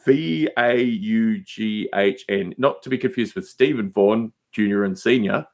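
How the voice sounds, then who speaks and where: A man's voice: lowish voice, measured pace, vocal fry, darkish tone. A man chatting casually as a podcast guest, telling digressive anecdotes with emphatic asides.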